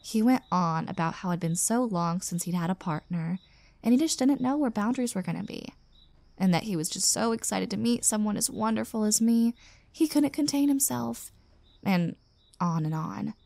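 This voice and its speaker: A woman's voice: medium pitch, lively intonation, moderate pace, creaky, dark tone, no room sound. A woman playing an exasperated single young woman venting about a bad date in an intimate audio roleplay, with sarcastic asides. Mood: bitter, venting. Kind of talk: roleplay audio drama, intimate conversational monologue.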